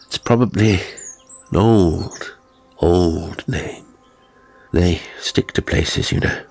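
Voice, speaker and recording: Man acting a haunted English gentleman, husky and strained. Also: deep voice, takes it slowly, soft background music, exaggerated voice. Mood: brisk, dismissive. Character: tormented, haunted English gentleman, evasive and desperate. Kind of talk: audiobook character acting.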